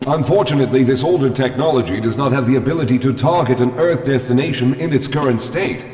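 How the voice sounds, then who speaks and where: A man's voice: mid-range voice, fast talker, a little mellow, natural voice. A man playing a formal, anxious scientist in echoey reverb. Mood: urgent, instructing. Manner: formal, articulate, explanatory. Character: weary, guilt-ridden scientist, anxious and self-critical. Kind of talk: scripted video game character dialogue. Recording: echoey hall with long reverb.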